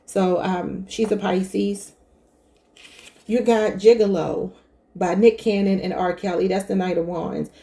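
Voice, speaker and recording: A woman's voice: medium pitch, fairly even intonation, measured pace, dark tone. A woman giving a casual tarot reading to her viewers, chatty and thinking aloud, calling them y'all. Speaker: tarot reader.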